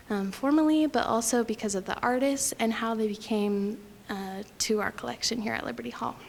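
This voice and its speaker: A young woman from Utah giving a prepared lecture at a podium, talking quietly with frequent ums. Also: fairly high pitch, slight background noise. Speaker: guest lecturer.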